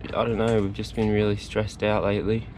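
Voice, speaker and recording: Weary low-voiced young man on a boat. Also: little pitch movement, fairly quick, darkish tone, slight background noise. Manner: weary, matter-of-fact. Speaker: sailing vlogger. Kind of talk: casual vlog chat. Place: outdoors on a sailboat. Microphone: action camera at arm's length.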